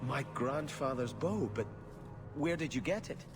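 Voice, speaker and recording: An actor playing a devout, solemn exiled prince and archer in a video game, speaking in an earnest, formal, measured way. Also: male voice, mid-range voice, lively intonation, lightly acted. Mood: stunned. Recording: music behind.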